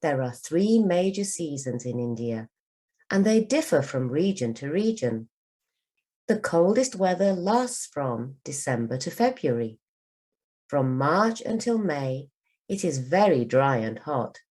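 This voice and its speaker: A woman reading a children's storybook aloud for learners, as a teacher does, with careful, expressive delivery. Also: low voice, a little slow. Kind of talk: scripted storybook narration for learners.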